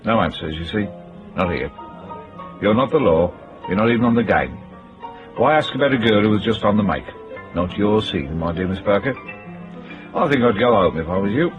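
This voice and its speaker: An actor playing a smooth, menacing club boss in a radio drama, clipped and condescending. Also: male voice, lowish voice, animated, moderate pace, long pauses, lo-fi sound, music in the background, lightly acted. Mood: cool menace. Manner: clipped, condescending, veiled threats.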